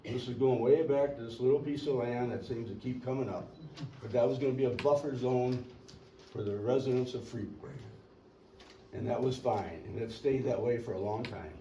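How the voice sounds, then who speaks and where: An older man recounts local history in a rambling, folksy way, pausing to clear his throat. His tone is mellow and dark. He is picked up from a distance in an echoey meeting room with a faint hiss behind. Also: mid-range voice. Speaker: local resident speaking at a public meeting. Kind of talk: storytelling public comment.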